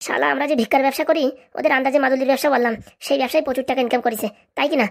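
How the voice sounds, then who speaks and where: A woman puts on a crooked cartoon gang boss with a high voice and a torrent of very quick words. The boss is demanding, sarcastic and forever scolding, in speech. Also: steady tone, vocal fry. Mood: angry, demanding. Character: bossy, grumbling, crooked ringleader of a begging racket. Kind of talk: comic cartoon dialogue.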